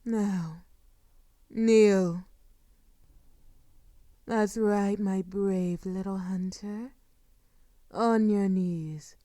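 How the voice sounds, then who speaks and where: Woman acting a slow-speaking, seductive sadistic vampire mistress. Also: medium pitch, fairly even intonation, mellow, dry recording, studio quality. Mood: commanding, gloating. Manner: slow, commanding, mock-tender, often dropping to a breathy whisper. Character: sadistic, seductive female vampire, possessive and doting. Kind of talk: intimate audio roleplay acting.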